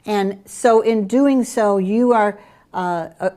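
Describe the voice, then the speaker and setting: A middle-aged woman from Curaçao with a Dutch Caribbean accent, presenting group trips in an eager sales pitch. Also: slow, warm tone. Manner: enthusiastic sales pitch with fillers. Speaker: travel program presenter. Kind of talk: promotional chat about group tours.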